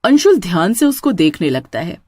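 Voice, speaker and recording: A woman's voice: fairly low pitch, very animated, normal speed, darkish tone, breathy edge, dry recording, clean studio sound. A woman narrator reading a scripted horror story.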